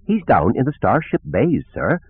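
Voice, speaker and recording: A man's voice: medium pitch, huge pitch swings, moderate pace, slightly rough, pitched above the performer's own voice. A man voicing a prim, fussy, polite and anxious male robot servant with formal speech, in an audiobook. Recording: narrow phone-like band.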